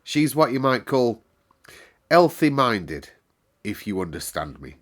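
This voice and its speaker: A man voicing a brisk housekeeper. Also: medium pitch, very animated, voice pushed up. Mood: pleased, emphatic. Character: stout, practical middle-aged housekeeper woman.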